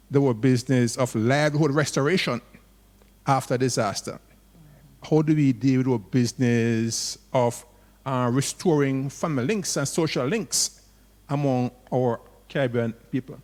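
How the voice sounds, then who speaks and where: A man speaking as a panelist in an online academic discussion, deliberate and lecturing. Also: medium pitch, a little mellow, big-room sound.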